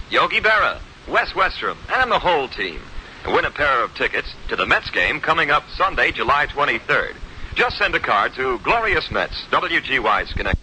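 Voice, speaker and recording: Very bright, forceful 1960s radio promo man. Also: medium pitch, low-quality recording. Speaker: radio station announcer. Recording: old radio broadcast, faint noise.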